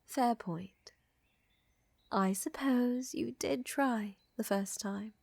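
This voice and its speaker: Lady doing a flirty vampire countess for asmr roleplay, breathy n whispery, slow teasing soft domme, kinda high voice. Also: no room sound, heavily acted. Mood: teasing, tender. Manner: slow, teasing, coaxing, formal wording. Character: flirtatious, gently dominant vampire countess, tender lover. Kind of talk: intimate ASMR roleplay acting.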